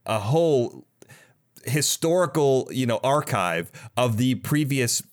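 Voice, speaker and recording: A man hosting a casual interview, talking in a halting, rambling way and often interrupting himself. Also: mid-range voice, animated. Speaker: podcast host interviewer.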